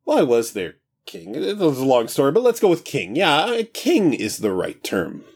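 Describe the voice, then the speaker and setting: A man voicing a chatty ancient male god-king who stammers and rambles with breezy excitement. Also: medium pitch, normal speed, breathy edge, voice pushed up, heavily acted. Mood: rambling, glib.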